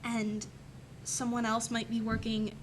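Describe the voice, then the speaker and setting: Higher-voiced young woman, flat and tentative. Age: teens to young adult. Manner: tentative, thinking aloud with pauses. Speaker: student panelist. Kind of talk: panel discussion answer. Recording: clear background noise and rumble.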